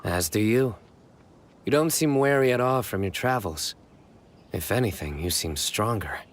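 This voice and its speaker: A man playing a composed young investigator in a video game, formal and measured, with deadpan pride in his wit. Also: fairly low pitch, slight background noise. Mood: calm, friendly.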